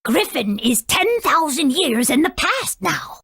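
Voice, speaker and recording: An actress playing a proud, aged female sage in a video game, husky, formal and haughty, stern yet kindly. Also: high-pitched, sing-song, unhurried, fairly bright tone, dry recording, studio quality, exaggerated voice. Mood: grave, explaining.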